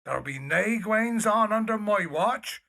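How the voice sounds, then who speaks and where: A man acts out a working-class foreman in an audio period drama, high-pitched and bright-edged. He speaks deferentially in dialect, a loyal and reassuring sort. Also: lively intonation, a little slow, creaky, exaggerated voice.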